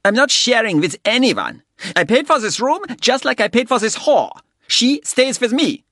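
A man's voice: very high voice, big pitch swings, normal speed, pushed and bright, no echo, clean studio sound, voice pushed up, exaggerated voice. A man voicing an arrogant, violent German man in his sixties, with a harsh, guttural German accent and a contemptuous, snarling delivery. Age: 60s.

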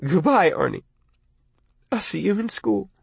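A frightened teenage girl begs down a telephone line, her high, wavering voice always close to tears, as a male audiobook narrator puts her on. The delivery is husky and dry, with wide swings in pitch. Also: very animated, measured pace, no room sound, full character voice. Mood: pleading, tearful. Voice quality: wavering, tearful.

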